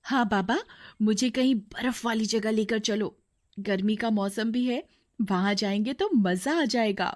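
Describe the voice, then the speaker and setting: A woman's voice: mid-range voice, a little slow, natural voice. A woman voicing a sweet, pleading eleven-year-old girl in a storybook tale. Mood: pleading, excited.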